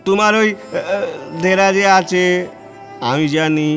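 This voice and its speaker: A man's voice: high-pitched, slow, a bit bright, faint music behind. A man voicing an artless, affectionate young village girl in an audio play, coaxing and familiar in manner.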